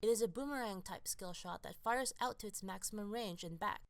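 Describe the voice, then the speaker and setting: A woman reading scripted, explanatory narration for a game guide in a measured, instructional manner. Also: medium pitch, crowd noise.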